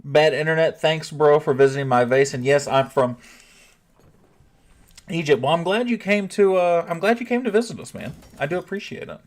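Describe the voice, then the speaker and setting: A man talks loosely to his live stream audience, answering chat as he goes. He thinks out loud and often stops to rephrase before he finishes a thought. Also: a little high, moderate pace. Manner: chatty, reads chat aloud, often restarts and thinks out loud. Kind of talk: casual livestream chat.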